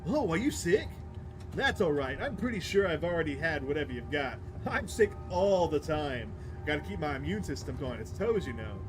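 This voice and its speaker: A man's voice: a little high, lively intonation, normal speed, music in the background, close to an everyday voice. A man voicing a rambling, boastful, oblivious young prankster from a visual novel. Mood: cheerful, oblivious. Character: chatty, careless young male prankster bus passenger. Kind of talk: visual novel character read aloud.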